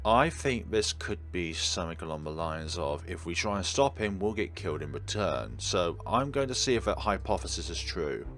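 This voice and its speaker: A man with a slightly low voice plays commentator over a game. He reads narration aloud and wryly answers the characters, with faint music behind him. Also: sing-song, moderate pace, few pauses, fairly bright tone. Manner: wry, talking back to the characters. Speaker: let's play commentator.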